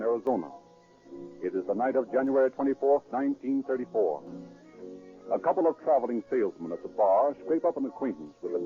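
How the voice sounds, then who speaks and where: A man's voice: medium pitch, lightly acted. A man acting as a grave, florid narrator of a true-crime radio drama in dramatic 1930s style. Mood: solemn, atmospheric. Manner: declamatory, florid, solemn. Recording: tinny 1930s radio broadcast, music behind.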